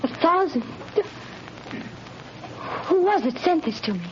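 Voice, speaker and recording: A woman's voice: very high-pitched, animated, unhurried. An actress playing an anxious young mother who speaks pleadingly in a 1930s radio drama. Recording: hissy, muffled 1930s radio recording.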